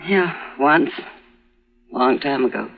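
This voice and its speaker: A woman's voice: rumbling low, lively intonation, slow, vocal fry, full character voice. An actress playing a firm, dry-witted woman who owns a saloon, on a dull old radio recording. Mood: wry. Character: tough, caring woman saloon owner.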